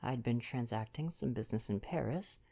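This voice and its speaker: A young man doing an intimate ASMR boyfriend roleplay, chatting casually and reading aloud in a gentle, playful, affectionate way. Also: mid-range voice, fairly quick, gravelly. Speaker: ASMR roleplay performer and reader.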